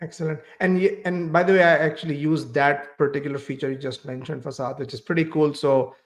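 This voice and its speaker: Gravelly-voiced male podcast host. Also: on the high side, fairly even intonation, a little fast. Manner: friendly, asks questions with fillers. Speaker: podcast host and career coach. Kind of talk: podcast interview.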